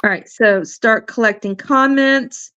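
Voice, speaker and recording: A woman's voice: fairly high pitch, measured pace, pushed and bright, dry recording, highs cut off. A woman hosting a casual livestream, talking in a chatty way with lots of fillers and restarts, her voice starting to wear out. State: losing her voice. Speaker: livestream host.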